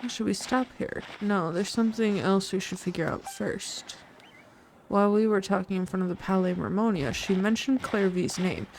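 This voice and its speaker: A woman's voice: mid-range voice, normal speed, darkish tone, low-quality recording. A woman streamer giving live gameplay commentary, playful and irreverent, blurting out her reactions. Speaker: VTuber game streamer. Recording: faint noise in livestream audio.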